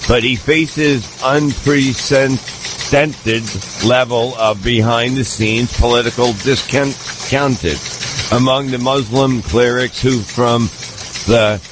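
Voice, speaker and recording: A man's voice: medium pitch, steady tone, takes it slowly, noisy background. A man reading a comedic mock newscast as the anchor, stumbling over words and mispronouncing them. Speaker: comedy show news reader. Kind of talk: mock newscast read aloud.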